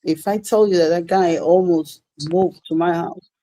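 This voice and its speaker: Mellow Cuban-accented woman telling stories casually. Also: lowish voice, steady tone, moderate pace, dry recording. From Cuba. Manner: informal, peppered with swearing. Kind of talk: casual personal storytelling.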